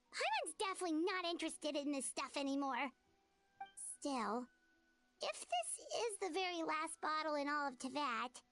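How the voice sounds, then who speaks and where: A tiny floating fairy sidekick chatters in an extremely high, squeaky voice. The delivery is animated and chirpy, childlike and opinionated, in fantasy game style over faint music. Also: female voice, heavily acted. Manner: speaks of self in third person, excitable. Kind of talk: scripted video game dialogue.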